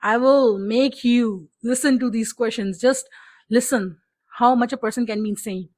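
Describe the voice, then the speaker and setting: A woman from Pakistan whose first language is Urdu, chatting to camera at home in an exasperated, animated vlog style and laughing often. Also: on the high side, normal speed. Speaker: vlogger. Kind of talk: casual vlog chat to camera. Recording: music playing faintly behind.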